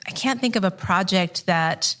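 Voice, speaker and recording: A woman's voice: mid-range voice, moderate pace, a bit bright. A woman ethnographer speaking thoughtfully at a live roundtable, peppering her points with fillers. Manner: thoughtful, many "you know" fillers. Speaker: ethnographer and scholar panelist. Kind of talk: roundtable discussion.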